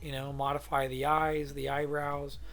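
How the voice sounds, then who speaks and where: A man from California with a hoarse voice from a cold, thinking aloud in a rambling, casual way as he teaches a drawing demo in his home studio. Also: fairly high pitch, completely flat delivery, moderate pace, a bit bright, soft background music. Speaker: art instructor.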